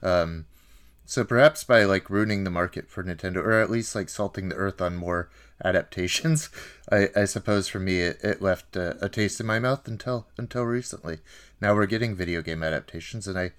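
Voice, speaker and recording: A man in his thirties with a very low-pitched voice. He talks casually as a podcast co-host, feeling his way through thoughts in a halting, wry manner. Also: fairly bright tone, smooth. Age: 30s. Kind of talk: casual film discussion.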